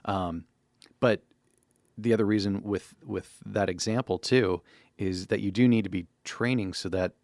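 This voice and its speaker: A man's voice: lowish voice, steady tone, measured pace, a little mellow, no room sound, clean studio sound. A man co-hosting a podcast, chatting casually and giving advice as a voiceover coach.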